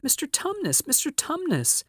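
A man's voice: a little high, animated, normal speed, dry recording, studio quality, pitched above the performer's own voice. A man voicing a kind, earnest and anxious little girl in a storybook. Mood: distressed. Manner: pleading, concerned, exclaiming.

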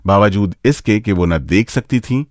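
A man's voice: on the low side, moderate pace. A man hosting a radio show, presenting scripted material in a playful, engaging way. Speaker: radio host.

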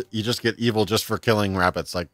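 Deep voiced guy in his 30s streaming a game, laid back chatter, little laughs, faint music behind. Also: steady tone, fairly quick, a bit bright, dry recording. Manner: chatty, laughs often, reads chat aloud. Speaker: livestream gamer. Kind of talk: casual let's-play chat.

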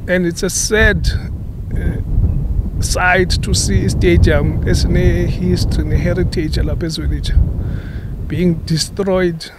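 A middle-aged man with a South African accent, his voice pitched a little high, makes an earnest, measured appeal into a handheld microphone. He is recorded outdoors at a stadium, with faint ambient sound and the odd passing vehicle behind him. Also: steady tone, noisy background. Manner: earnest, measured, switches between isiXhosa and English. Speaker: local businessman making a public appeal. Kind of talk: on-camera interview statement. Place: outdoors at an old sports stadium. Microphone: handheld reporter microphone.

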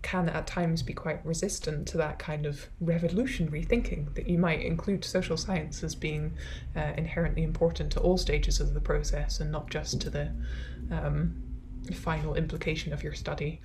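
Low-voiced Scottish woman academic. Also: flat delivery, normal speed, hardly any pauses, background noise. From Scotland. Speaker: academic speaker, social science scholar. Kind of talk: conversational question-and-answer after an academic talk.

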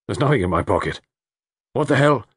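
A man plays a defensive, hot-tempered gentleman in a storybook. He snaps bluntly, pitch jumping upward, in a husky, dry-recorded voice. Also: lively intonation, fairly quick, no room sound.

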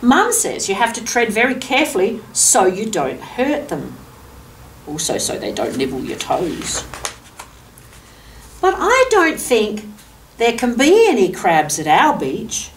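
A woman's voice: on the high side, wildly swooping pitch, measured pace. An Australian woman in her sixties reads a picture book aloud to young children, adding asides, explanations and questions for them. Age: 60s. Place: furnished office room indoors, seated. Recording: distant microphone, noticeable room background noise.

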